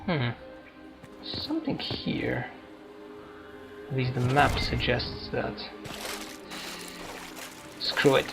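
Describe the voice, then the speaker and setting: Slow, gravelly man over game music. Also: medium pitch. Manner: musing, halting, thinking aloud. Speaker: gamer roleplaying through a video game. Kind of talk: casual let's-play commentary. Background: video game music.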